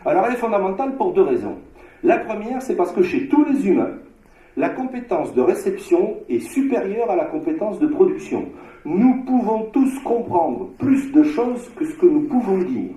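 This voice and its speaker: A man from Marseille giving an academic conference lecture, discursive, with frequent filler pauses and restarts. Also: high-pitched, sing-song, dark tone, reverberant, low-quality recording. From Marseille, France. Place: lecture hall.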